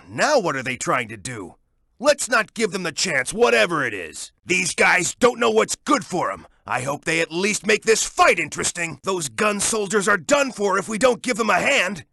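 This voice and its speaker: An American man voicing a young, hot-headed male echidna hero in a video game, with a gruff, brash and commanding delivery of hints and battle callouts. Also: high voice, pushed and bright, no echo, studio quality, heavily acted. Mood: cocky, combative.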